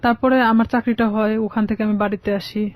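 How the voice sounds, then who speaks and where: A woman's voice: on the high side, level tone, fast, no echo. A young woman with a Bangladeshi Bengali accent telling a personal life story as a guest in a radio interview. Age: young adult.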